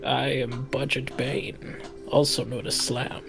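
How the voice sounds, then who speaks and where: A man putting on a slow, theatrical, mock-menacing hulking villain voice for podcast banter. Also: medium pitch, little pitch movement, creaky, fairly bright tone, faint music behind.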